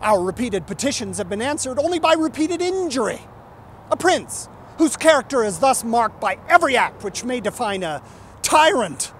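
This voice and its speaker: Male orator, impassioned and indignant dramatic recitation, very animated intonation, some noise in the recording. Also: high-pitched. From United States. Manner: impassioned, indignant, emphatic declaiming. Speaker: reciter, live presenter.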